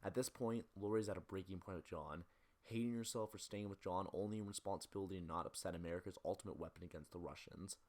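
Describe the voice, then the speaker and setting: A man's voice: low-pitched, fairly even intonation, fast talker, darkish tone. A man narrating a scripted film analysis as a video essayist, in a critical, opinionated way.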